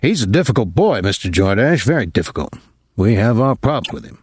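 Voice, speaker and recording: A man voicing a nervous, apologetic older military academy colonel in an audiobook, speaking formally and haltingly with some embarrassment. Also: lowish voice, very animated, a little mellow, slightly rough, dry recording, clean studio sound. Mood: uneasy, grave.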